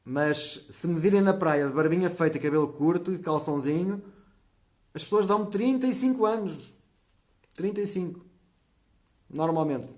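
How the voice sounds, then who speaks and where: A man's voice: a little high, darkish tone. A man in his forties with a European Portuguese accent, hosting a natural health live stream and chatting through viewer comments. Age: 40s. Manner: chatty, often checks in with a quick "tá?". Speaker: natural health channel host.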